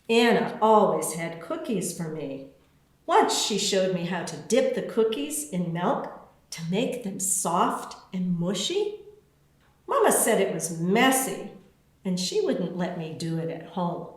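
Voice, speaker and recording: Slow, expressive woman storyteller in echoey room. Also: lowish voice. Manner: expressive, deliberate storytelling with spaced phrases. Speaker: author reading her own book. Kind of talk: children's picture book read-aloud.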